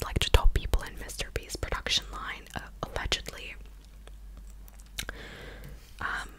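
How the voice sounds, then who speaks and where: A young adult woman hosting an ASMR video, talking casually in a soft whisper close to the microphone. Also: low-quality recording. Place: small living room, indoors. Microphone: large desktop microphone, very close.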